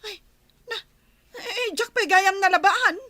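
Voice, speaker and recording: Woman doing a harried village housewife, really high bright voice, complainy, comedy radio play. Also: unhurried, dry recording, clean studio sound, exaggerated voice. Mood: exasperated. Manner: exclamatory, complaining. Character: harried, exasperated village housewife who likes gossip. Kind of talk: comedy radio drama acting.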